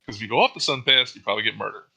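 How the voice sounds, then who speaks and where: Gravelly, sharp-toned man from Florida. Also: on the low side, very fast. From Florida, USA. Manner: profane, laid-back storytelling. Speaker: co-host. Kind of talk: casual chat.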